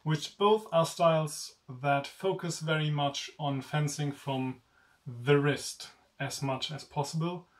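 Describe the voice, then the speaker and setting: A young man with a German accent, a native German speaker, chatting casually through a sword review in a room lined with swords, in a relaxed, self-deprecating way. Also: on the high side, slow, recorded from a distance. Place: indoor room with swords on wall rack. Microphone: camera at chest-up framing.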